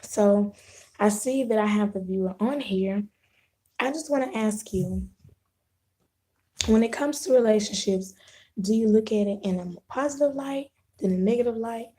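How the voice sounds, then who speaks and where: A hoarse woman with a sore throat, hosting a casual live video chat with her viewers, rambling and restarting her sentences. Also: medium pitch, mellow. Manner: unhurried, rambling, clears throat, frequent restarts.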